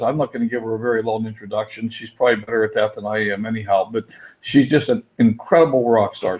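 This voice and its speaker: Brief male host, enthusiastic, stumbling restarts, dull lo-fi call audio. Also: medium pitch. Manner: enthusiastic, repeats words, many "uh" restarts. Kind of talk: casual webinar hosting. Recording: muffled lo-fi audio.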